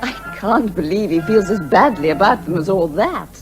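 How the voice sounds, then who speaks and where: An actress playing a gentle, concerned young British woman barrister, polite and well-spoken, in radio comedy style. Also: medium pitch, animated, normal speed, music in the background, close to an everyday voice. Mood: tender concern. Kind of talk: old-fashioned radio comedy acting.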